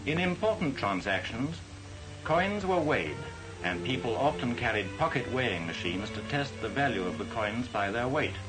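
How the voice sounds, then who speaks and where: Animated man narrating a vintage film. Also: medium pitch, poor audio. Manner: formal, measured, didactic. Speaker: documentary narrator. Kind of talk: scripted narration, 1940s educational film. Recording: vintage 1940s film soundtrack. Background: music.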